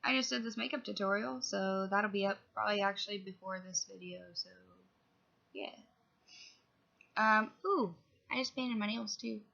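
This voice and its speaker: A young woman with a bright, gravelly edge to her voice rambles through a casual vlog update, sniffling from a cold. She strings her thoughts together with fillers and little asides. The audio is dull and lo-fi, as if recorded at home on basic gear. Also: medium pitch, normal speed. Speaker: vlogger. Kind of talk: casual update chat to camera.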